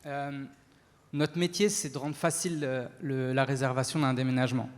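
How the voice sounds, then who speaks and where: Male entrepreneur as event guest, halting delivery, long hall reverb. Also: mid-range voice, level tone. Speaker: entrepreneur being interviewed on stage. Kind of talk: spoken testimony at a business talk event.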